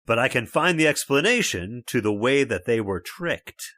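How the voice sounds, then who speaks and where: A man's voice: mid-range voice, expressive, normal speed, pushed and bright, dry recording, studio quality. An actor playing a stubborn, skeptical middle-aged father in a radio horror drama, scornful and dismissive. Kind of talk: old-time radio drama acting.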